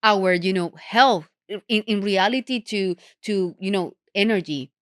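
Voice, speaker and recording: A woman hosting a podcast interview, speaking haltingly and searching for words as she frames her questions. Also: mid-range voice, no echo, clean studio sound. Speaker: podcast host.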